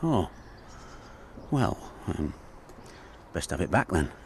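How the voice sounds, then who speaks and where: An actor playing a warm, nostalgic older British man telling stories in a chatty, wistful way in a naturalistic radio drama. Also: deep voice, very animated, slow, slight background noise, heavily acted. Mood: friendly, awkward. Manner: chatty, chuckling, wistful reminiscing.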